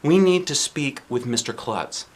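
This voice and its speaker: A man's voice: mid-range voice, animated, a little slow. A man voicing a bossy, sensible young schoolgirl from a children's storybook in a matter-of-fact, insistent way. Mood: brisk. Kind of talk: children's storybook character reading.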